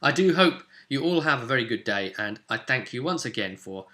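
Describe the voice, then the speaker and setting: A man's voice: medium pitch, lively intonation, a little fast, pushed and bright. A man hosting an informal tabletop roleplaying guide, talking directly to viewers in a conversational, explanatory way. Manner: conversational, addresses viewers directly with tag questions. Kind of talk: informal explainer, part ad-libbed, part reading rules aloud.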